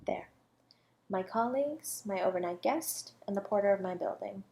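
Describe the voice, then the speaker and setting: A woman playing a self-assured academic under suspicion of murder in a flirty audio roleplay, teasing and composed, with deliberate, condescending delivery. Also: medium pitch, expressive, creaky, dark tone. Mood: composed, coy. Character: coy, self-assured female academic, a murder suspect toying with detective. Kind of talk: flirty audio roleplay, one-sided dialogue.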